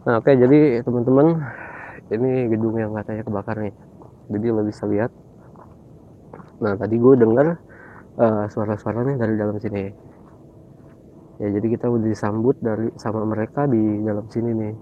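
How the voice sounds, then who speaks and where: A man's voice: on the low side, steady tone, moderate pace, pauses often, recorded from a distance, phone-quality sound, a little hiss or hum. A man with a cold, co-hosting a ghost-hunting vlog and telling viewers about an abandoned factory at night. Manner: chatty, explains eagerly to viewers. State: has a cold. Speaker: co-host of a ghost-hunting channel. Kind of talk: casual chat on location.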